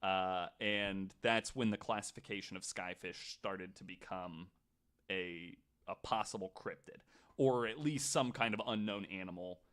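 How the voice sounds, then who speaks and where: A man co-hosting a podcast, telling a story casually in a skeptical, wry, somewhat halting way. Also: sits low, fairly bright tone, smooth. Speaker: podcast co-host.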